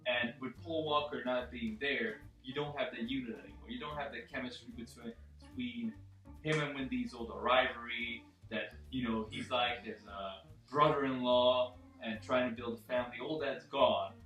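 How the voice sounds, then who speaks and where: A man's voice: medium pitch, fairly even intonation, normal speed, fairly bright tone, recorded from a distance. A man giving his opinion in a casual movie discussion, emphatic and repeating phrases for stress. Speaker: panel member in a film discussion. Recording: echoey room, off the mic, faint music.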